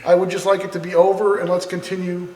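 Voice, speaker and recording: A man on the council speaking at a public meeting in an earnest, conciliatory, somewhat halting way. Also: high voice, level tone, darkish tone. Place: city council chamber. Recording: echoey meeting room.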